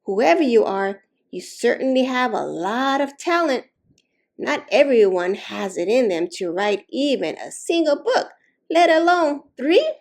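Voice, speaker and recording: A woman voicing a kindly, enthusiastic head librarian in a storybook, polite, encouraging and deliberate. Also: fairly high pitch, sing-song, a little mellow, exaggerated voice. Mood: warm, admiring. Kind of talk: storybook read-aloud.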